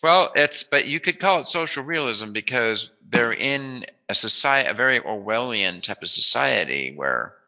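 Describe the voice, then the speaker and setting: A man's voice: mid-range voice, expressive, moderate pace, few pauses, sharp tone, poor audio. A man hosting a casual interview, talking in an informal, meandering way. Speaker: interviewer, host.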